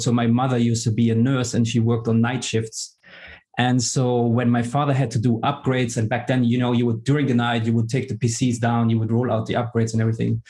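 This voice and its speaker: A middle-aged man from Germany, speaking as a guest in a casual podcast interview, pausing often with fillers and restarts. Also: mid-range voice, darkish tone. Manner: hesitant, many fillers and restarts. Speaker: guest, tech executive.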